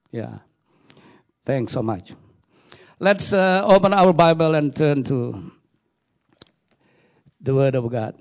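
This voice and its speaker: A middle-aged man from Indonesia with an Indonesian accent, speaking English as a guest pastor in church, pausing often with fillers and repeated words. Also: mid-range voice, creaky, darkish tone. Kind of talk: sermon introduction.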